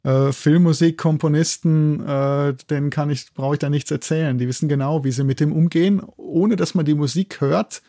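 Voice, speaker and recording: A man's voice: on the high side, fairly even intonation, normal speed, hardly any pauses, warm tone, no room sound, clean studio sound. A middle-aged man explaining things enthusiastically as an expert interview guest, often checking in at the ends of phrases. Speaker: guest expert, zoology institute head and sound producer.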